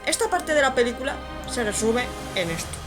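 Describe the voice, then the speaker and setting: A woman's voice: fairly high pitch, big pitch swings, brisk. Woman from Spain narrating over music. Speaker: video narrator and reviewer. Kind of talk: scripted review narration.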